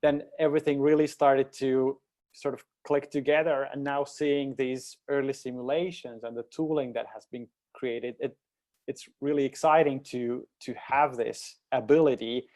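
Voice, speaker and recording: A man's voice: mid-range voice, fairly even intonation, normal speed, a little mellow. A man in a casual technical discussion, speaking reflectively and searching for his words. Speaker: project team member in a panel discussion.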